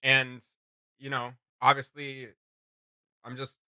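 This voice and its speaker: A man hosting a reaction video with casual, excited commentary, speaking in an emphatic, slangy, exclamatory way. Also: medium pitch, slow pace, clear, resonant tone, no room sound. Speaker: reaction channel host. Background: music.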